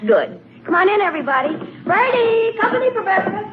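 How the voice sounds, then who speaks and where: An actress playing a gracious, earnest young niece in a 1940s radio comedy, polite and gently firm. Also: female voice, very high-pitched, big pitch swings, a little fast. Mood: welcoming. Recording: tinny, noisy 1940s radio recording.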